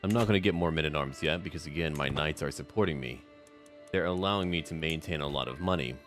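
A man's voice: extremely low pitch, quick pace, a bit bright, soft background music. A man giving roleplay commentary on a strategy game, musing theatrically in character like a schemer. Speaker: gamer narrating a strategy game.

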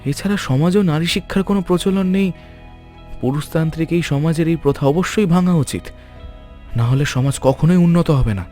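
A man voicing a principled, kindly scholar and reformer in a cartoon, speaking in an earnest, measured, resolute way. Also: on the high side, dark tone, soft background music, natural voice. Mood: troubled, resolute. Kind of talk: dramatic cartoon voice acting.

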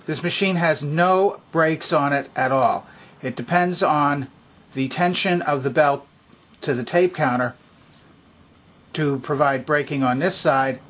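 A man at a workbench giving a calm, methodical hands-on demonstration of an old stereo system, speaking from behind the camera. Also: medium pitch. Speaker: vintage electronics restorer.

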